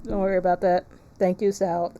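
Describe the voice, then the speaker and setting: A woman's voice: on the low side, level tone, fairly quick, dark tone. A woman livestreaming a game, chatting casually in a playful, joking manner. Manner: playful, joking, crude humor. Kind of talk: casual game-stream chat and reading aloud.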